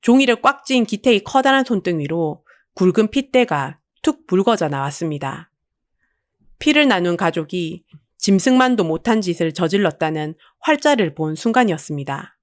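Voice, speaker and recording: A woman narrates a dramatic audio story from a script. Her pitch is mid-range but moves a great deal, and the recording is close and dry with hardly any room sound. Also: expressive, moderate pace, no room sound.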